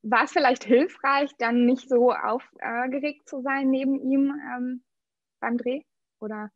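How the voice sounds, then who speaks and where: A woman interviewing in a friendly, chatty way, often pausing with hesitant fillers. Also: high voice, steady tone, dry recording, lo-fi sound. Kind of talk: casual interview questions.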